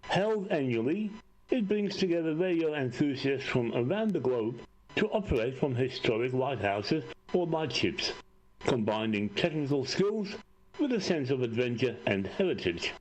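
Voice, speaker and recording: Male narrator, lively, polished club video voice-over. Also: medium pitch, normal speed, rough recording. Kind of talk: scripted narration.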